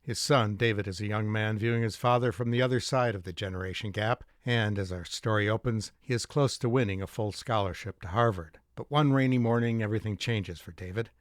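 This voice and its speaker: A man with a fairly low voice presents scripted commentary as a podcast host. His delivery is measured and articulate, and the sound is close and dry. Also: dry recording.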